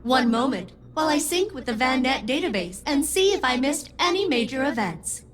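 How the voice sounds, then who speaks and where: A woman's voice: sits high, sing-song, normal speed, a bit bright, slight background noise. A woman playing an eager, centuries-old game AI with precise, formal enthusiasm. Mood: eager, polite. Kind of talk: video game character dialogue.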